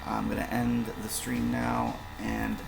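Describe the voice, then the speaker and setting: A man explaining the combat rules of his own tabletop role-playing game on a stream, rambling through quick examples with many fillers, his voice hoarse and fading. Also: medium pitch, big pitch swings, echoey room, over music, low-quality recording. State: hoarse, voice going.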